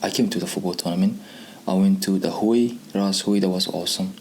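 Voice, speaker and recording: A man's voice: deep voice, fairly even intonation, brisk, darkish tone, a little hiss or hum. A man in his twenties from Pakistan, a non-native English speaker, telling his own story casually. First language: not English. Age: 20s. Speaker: youth programme participant. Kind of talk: casual personal testimony.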